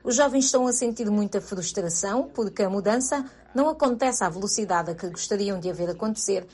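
Husky female news voice-over. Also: mid-range voice, fast talker, a little mellow. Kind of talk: dubbed voice-over.

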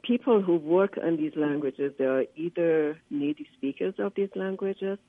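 A man whose first language is Persian, a linguist giving a radio interview by phone, often pausing to search for words. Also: high-pitched, lively intonation, gravelly, no echo. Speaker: linguist and conference organizer, interview guest. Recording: tinny, dry phone line.

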